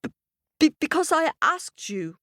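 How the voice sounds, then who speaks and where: A woman voicing a frightened young girl who stammers in a high, shaky voice. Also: sing-song, measured pace, sharp tone, dry recording, studio quality, heavily acted.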